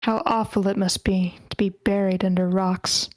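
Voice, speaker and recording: A woman acts out a jealous wife in a screenplay table read. She is brooding and suspicious. Her pitch sits in the middle range. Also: little pitch movement, normal speed, natural voice. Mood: sombre.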